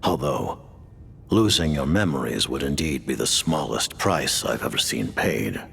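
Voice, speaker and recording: A man playing a grave, aloof ancient mastermind in a video game cutscene, speaking in a measured, formal, imperious way with a gravelly voice. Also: rumbling low, lively intonation, fairly bright tone, a little hiss or hum, heavily acted. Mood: grave, stately. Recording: background music.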